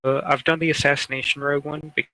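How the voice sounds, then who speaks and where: Bright-voiced man chatting on gaming podcast. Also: a little high, level tone, quick pace, no room sound, low-quality recording. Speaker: podcast co-host. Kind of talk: casual gaming podcast chat.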